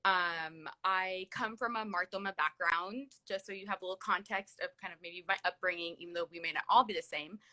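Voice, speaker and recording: Bright-voiced American woman in her late thirties. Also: fairly low pitch, brisk, few pauses. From California, USA. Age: late 30s. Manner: chatty, peppered with "like" and "literally". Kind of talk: casual personal storytelling on a livestream.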